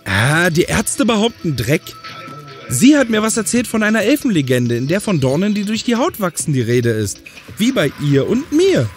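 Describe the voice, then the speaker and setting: A man's voice: high voice, huge pitch swings, moderate pace, faint music behind. A man playing an ailing, defiant young adult younger brother in a German audio drama. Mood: sullen, defiant.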